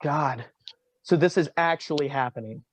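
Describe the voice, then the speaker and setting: A man reading a quiet, practical young manager in a virtual staged reading, with a dry, exasperated delivery. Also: a little high, moderate pace, slight background noise, close to an everyday voice. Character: quiet, practical male manager in his twenties. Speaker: also reads stage directions. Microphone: video call.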